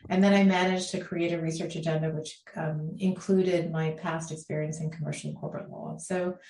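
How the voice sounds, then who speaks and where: Low-voiced woman from the Bahamas. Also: steady tone, a little mellow. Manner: measured, thoughtful, with frequent ums. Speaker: law professor guest. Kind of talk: podcast interview. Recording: echoey room.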